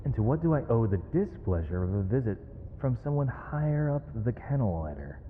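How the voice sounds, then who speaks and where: An actor playing a proud, weary captive mage in an ASMR roleplay, with eloquent, theatrical and measured formal diction. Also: male voice, medium pitch, big pitch swings, close to an everyday voice. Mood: mocking, disdainful. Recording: reverberant hall, faint noise, dull lo-fi sound.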